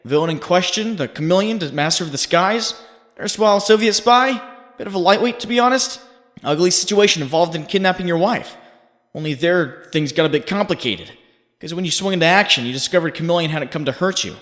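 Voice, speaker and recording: A man's voice: high-pitched, expressive, brisk, fairly bright tone. A man playing a young hero's brooding, guilt-ridden inner voice in a comic dub, sardonic and intense in second-person narration. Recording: long cavernous reverb.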